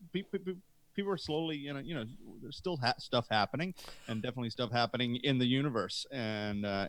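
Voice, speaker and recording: A man with a mid-pitched voice talks through news informally as a panelist. His delivery is hesitant and halting, recorded dry without room echo. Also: a bit bright.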